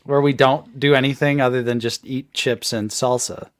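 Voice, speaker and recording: A man's voice: mid-range voice, fairly even intonation, normal speed, clear, resonant tone, dry recording, studio quality. A thirty-year-old man co-hosting a live stream, laughing and teasing in a sarcastic, casual way. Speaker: co-host of a toy collecting live stream.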